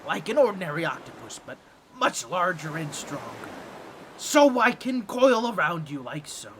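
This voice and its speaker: A man's voice: fairly high pitch, very animated, moderate pace, noisy background. A man voicing a boastful, scheming octopus merman with a grating, nasal, squawky voice and a pompous, awkward manner in a comedic audio roleplay. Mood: smug bragging. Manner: theatrical, pompous, fumbling with awkward asides.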